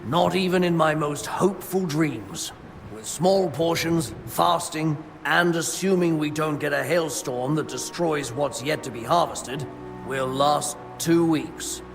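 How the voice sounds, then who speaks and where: A fussy monk from a video game, guarding the food stores. His male voice is gravelly and slightly high, with grudging, pious formality. It rings in long reverb with music behind it. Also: measured pace. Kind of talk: video game cutscene acting.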